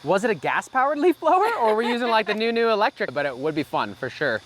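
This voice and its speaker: A man's voice: high-pitched, sing-song, quick pace, a crowd in the background. A man co-hosting a casual Q&A, explaining growing practices in a practical, folksy way.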